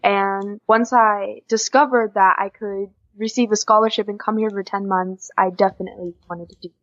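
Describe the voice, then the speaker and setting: An eighteen-year-old American woman talks casually as a guest in an interview. Her voice is of average pitch for a woman. The sound is slightly muffled and lo-fi. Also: steady tone, normal speed, a bit bright. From Oklahoma, United States. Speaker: interview guest, exchange student. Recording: dull lo-fi podcast audio.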